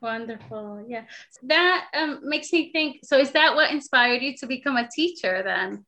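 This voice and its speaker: A woman's voice: sits high, animated, moderate pace, sharp tone. A woman hosting a podcast, welcoming her guest and responding with encouraging remarks. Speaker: podcast host. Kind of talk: casual interview hosting.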